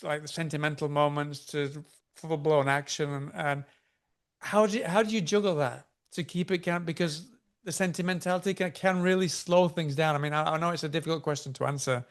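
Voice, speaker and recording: A man with a fairly high voice interviews an author. His questions ramble and loop, with stumbles and repeated words, and he often chimes in with short agreements. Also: fairly even intonation, a little fast. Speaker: interviewer host.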